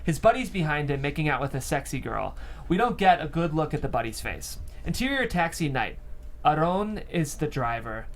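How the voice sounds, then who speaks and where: A man's voice: a little high, very animated, moderate pace. A man reading stage directions as narrator at a script table read.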